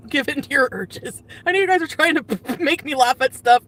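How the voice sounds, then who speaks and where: A woman's voice: high voice, big pitch swings, measured pace, a bit bright. A woman vlogging and chatting with her viewers, rambling with lots of fillers and frequent laughter. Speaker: vlogger in the clip being reacted to. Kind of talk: casual vlog and live-stream chat. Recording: distant, noisy clip audio.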